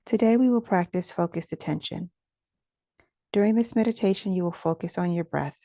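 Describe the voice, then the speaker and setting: A woman guides a meditation in a relaxed, warm voice that sits a little low. Her delivery is gentle and soothing, with soft pauses and a noticeable vocal fry as she gives each instruction. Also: no room sound, studio quality. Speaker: guided meditation teacher and host.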